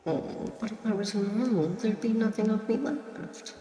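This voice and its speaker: A woman's voice: medium pitch, moderate pace, dark tone, slight background noise, lightly acted. A woman playing a cocky, teasing troublemaker with a mocking, flirtatious manner in an audio roleplay. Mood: taunting. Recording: echoey, distant, crowd behind.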